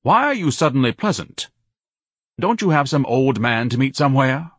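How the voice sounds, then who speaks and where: A man's voice: mid-range voice, big pitch swings, moderate pace, breathy edge, no room sound, studio quality, voice pushed up. A man voicing a huge, pompous and suspicious grown son with an aggrieved, bookish way of speaking in an audiobook story.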